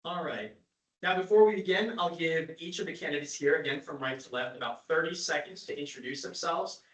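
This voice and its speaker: A man's voice: a little high, a little fast, fairly bright tone. A young man moderating a student forum, his slightly raised voice picked up from a distance. The large room rings around him. He runs the event plainly, step by step, reading out the rules and time limits. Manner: announces steps plainly, gives timed instructions. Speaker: town hall moderator. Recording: echoey room from far off.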